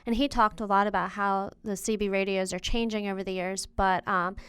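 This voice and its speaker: A woman with a strikingly clean, smooth voice hosts a casual interview podcast. She speaks informally and chattily at a middle pitch. The sound is dry and close, with very little room in it. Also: level tone, a little fast, fairly bright tone, no echo. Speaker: podcast host interviewing relatives.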